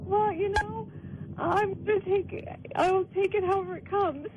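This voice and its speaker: A woman's voice: very high voice, steady tone, moderate pace. A hesitant, flustered woman calling in to a radio show over a thin, noisy phone line.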